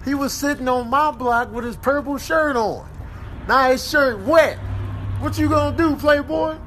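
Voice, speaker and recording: A man's voice: extremely high pitch, a little slow, sharp tone, a little hiss or hum, full character voice. A man voicing a brash, indignant dog in a comedic animal voiceover, ranting in a streetwise manner. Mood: cocky taunting. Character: brash, confrontational male dog, indignant and cocky.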